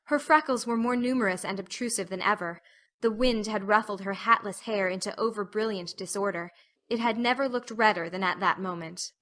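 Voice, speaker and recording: A woman narrating a literary audiobook as an expressive storyteller. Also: mid-range voice, brisk.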